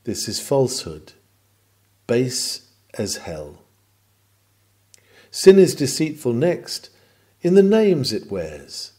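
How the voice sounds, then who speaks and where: A man reading a Victorian sermon aloud in an oratorical manner, with deliberate pauses and emphasis. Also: medium pitch, huge pitch swings, a little mellow. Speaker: reader of a historical sermon.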